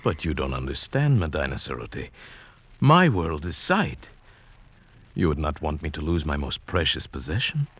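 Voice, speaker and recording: An actor playing an earnest, dreamy outsider in love, in mid-century radio drama style with poetic, theatrical delivery. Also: male voice, a bass voice, sing-song, moderate pace, a bit bright, husky, no echo, exaggerated voice. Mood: pleading. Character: lovestruck sighted outsider man, village slave, earnest and dreamy. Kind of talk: 1940s-50s radio drama acting, with first-person narration. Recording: old radio drama, music underneath.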